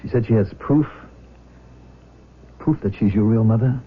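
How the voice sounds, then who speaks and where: An actor playing a calm, protective middle-aged foster father in a radio drama, with an American accent and a measured, courteous, firm delivery. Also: on the low side, natural voice. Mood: concerned. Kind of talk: 1970s radio drama acting. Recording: thin, phone-like, noisy vintage radio recording.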